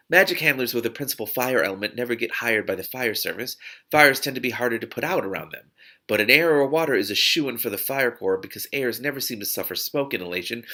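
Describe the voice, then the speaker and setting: A man's voice: mid-range voice, lively intonation, quick pace, sharp tone. A man reading a novel aloud expressively and reacting to it with exuberant asides.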